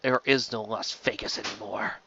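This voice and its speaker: A man's voice: fairly low pitch, fairly even intonation, normal speed, vocal fry, bright, forceful tone, highs cut off, a little hiss or hum, voice pushed down. Man acting bitter, defiant captive war captain. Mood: wary, sardonic. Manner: sardonic, embittered.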